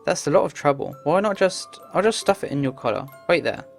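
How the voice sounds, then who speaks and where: A woman's voice: low-pitched, expressive, fairly quick, gravelly, faint music behind. A woman voicing a drowsy, exasperated, teasing friend in a visual novel, with grumbling retorts. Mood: dry.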